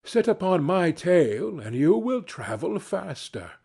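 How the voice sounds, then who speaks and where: A man's voice: high-pitched, sing-song, slow, gravelly, warm tone, slightly rough, pitched above the performer's own voice, exaggerated voice. A man voicing a wise, helpful talking fox in a storybook, giving formal, old-fashioned instructions. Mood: firm, advising.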